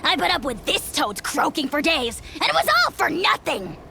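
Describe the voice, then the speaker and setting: An actress voicing a tiny, boastful dragon spirit who speaks pompously and theatrically, with mocking grandeur. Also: female voice, sits high, wildly swooping pitch, moderate pace, vocal fry, slight background noise, heavily acted. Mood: scornful. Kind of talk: video game voice acting.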